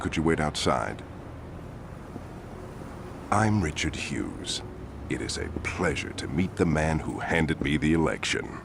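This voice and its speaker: An actor playing a smug, scheming male politician villain in a video game cutscene, smooth and condescending. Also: extremely low pitch, slight background noise, exaggerated voice. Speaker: corrupt politician.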